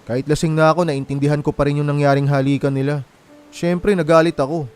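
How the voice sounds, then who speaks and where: An actor playing a heartbroken, wronged young boyfriend in a Filipino radio drama, with a plaintive, confiding manner and a Filipino accent. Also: male voice, fairly high pitch, little pitch movement, fast talker, a little mellow, smooth, lightly acted. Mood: hurt, indignant. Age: young adult. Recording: music playing behind the voice.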